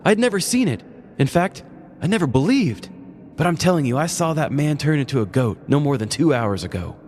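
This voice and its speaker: A man voicing an excitable young gamer bro who gives an earnest, emphatic witness report in a story. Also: fairly high pitch, a little fast, big-room sound, pitched above the performer's own voice. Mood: excited, insistent.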